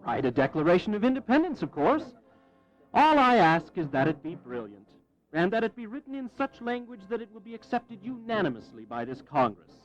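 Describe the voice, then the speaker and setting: A dull, slightly lo-fi audio drama recording of a fervent colonial statesman. His delivery is formal and oratorical with a wry edge, and the pitch leaps around freely. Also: very animated, a little fast, soft background music. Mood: amused, brisk. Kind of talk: dramatized historical audio acting.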